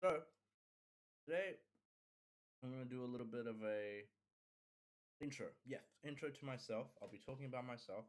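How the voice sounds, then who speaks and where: A man's voice: mid-range voice, normal speed, long pauses. A man in his early twenties from Sri Lanka who later moved to Australia, chatting casually to viewers in a hesitant, rambling way with repeated phrases. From Sri Lanka, then Kuwait and Australia. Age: early 20s. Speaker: streamer introducing himself.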